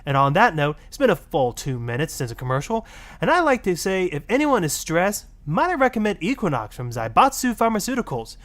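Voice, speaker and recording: Radio talk host , played by a man: dismissive, salesmanlike, deadpan, animated intonation. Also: high voice, brisk. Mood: brisk, salesmanlike. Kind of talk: satirical call-in radio presenting.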